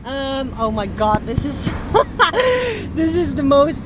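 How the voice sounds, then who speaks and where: A young Dutch woman with a Dutch accent hosts a casual Q&A from inside a moving car, her voice high and light. She speaks English as a second language and pauses to search for words. Loud road and engine noise runs under everything. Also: sing-song. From Netherlands. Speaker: vlogger. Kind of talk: casual Q&A chat. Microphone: camera mounted in car.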